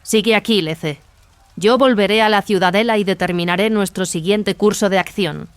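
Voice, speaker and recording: A woman playing a stern, commanding warrior sister in an audio drama, speaking with firm, measured authority. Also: medium pitch, clean tone, no room sound, close to an everyday voice. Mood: resolute. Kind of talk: dramatized audio fiction acting. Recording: music behind the voice.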